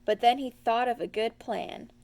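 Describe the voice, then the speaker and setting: Female storyteller, slightly high-pitched, expressive read-aloud for young children. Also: normal speed.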